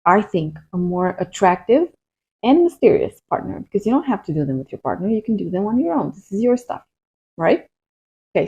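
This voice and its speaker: A woman from Romania, a breathwork coach giving gentle, unhurried advice in a casual vlog. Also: mid-range voice, muffled recording.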